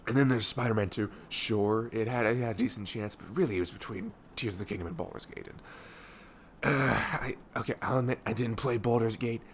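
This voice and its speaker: A man's voice: mid-range voice, a little fast, a bit bright, slight background noise, close to an everyday voice. A man playing a young, affectionate, nerdy best friend in an intimate ASMR roleplay, rambling informally with hesitant, self-deprecating asides. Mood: disappointed, exasperated.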